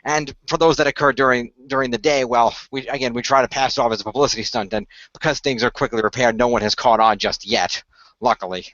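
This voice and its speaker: A man playing an earnest team leader who explains things in a measured way in a radio play, on dull audio. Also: mid-range voice, fairly even intonation, fairly bright tone, no room sound, natural voice. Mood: grave, explaining. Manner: measured, expository, sighing.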